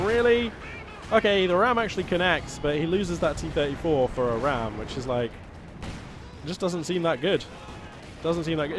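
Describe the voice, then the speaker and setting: Caster: British man, fairly high voice, excitable and rambling, with loud game gunfire and artillery under him. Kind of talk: live strategy game commentary. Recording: loud game battle sounds behind. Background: gunfire, artillery and vehicle noise from the game.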